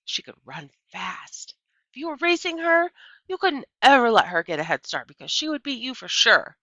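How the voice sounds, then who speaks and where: Woman reading as a gentle, thoughtful preacher dad, animated. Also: fairly high pitch, moderate pace, no echo, lo-fi sound, pitched above the performer's own voice, exaggerated voice. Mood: reflective. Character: gentle, thoughtful preacher father recalling his wife. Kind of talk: children's audiobook character voice.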